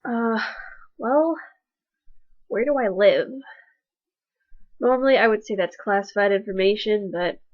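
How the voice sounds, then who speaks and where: A woman's voice: medium pitch, unhurried, pauses often, no echo. A young woman with allergies, her voice stuffy and sick, introducing herself awkwardly and self-deprecatingly with lots of fillers. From Texas. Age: young adult. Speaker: college student vlogger. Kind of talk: casual self-introduction vlog. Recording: dull, lo-fi webcam audio.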